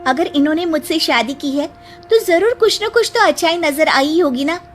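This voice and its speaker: A woman plays a short young daughter-in-law from a cartoon tale. She is spirited, self-assured and outspoken. Her voice sits high and swings widely in pitch, with soft music behind it. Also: expressive, normal speed. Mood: indignant, defensive. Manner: emphatic, outspoken. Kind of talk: animated moral-story cartoon dialogue.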